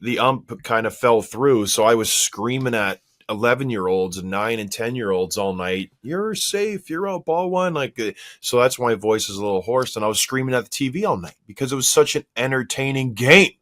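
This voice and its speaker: A man in his forties hosting a hockey podcast, slightly hoarse, telling self-mocking stories in a casual, animated way. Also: mid-range voice, moderate pace, hardly any pauses. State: a little hoarse. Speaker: podcast host.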